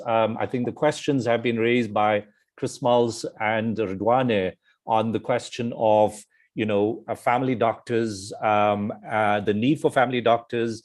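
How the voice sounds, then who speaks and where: A man moderating a conference panel, polite and gently prompting speakers, often repeating words. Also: medium pitch.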